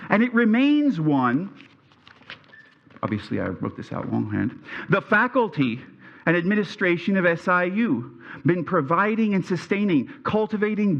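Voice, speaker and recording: A man's voice: sits high, fairly bright tone, muffled recording. A middle-aged man from Pennsylvania with a Pennsylvania Dutch accent, giving a prepared speech at a reunion and stumbling over his words a little. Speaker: alumni speaker. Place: reunion event hall.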